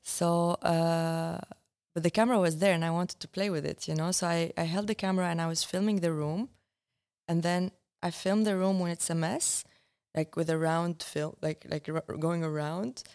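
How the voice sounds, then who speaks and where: A young woman, a filmmaker talking as the guest in a relaxed interview and often restarting as she searches for words. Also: on the low side, normal speed. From Syria. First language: Arabic. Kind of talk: casual conversation.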